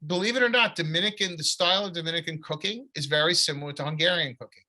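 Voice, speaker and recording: A middle-aged man with a bright, slightly high voice. He spins long, winding anecdotes full of restarts and asides, in an easy conversational way. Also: quick pace. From United States. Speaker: author. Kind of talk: conversational storytelling.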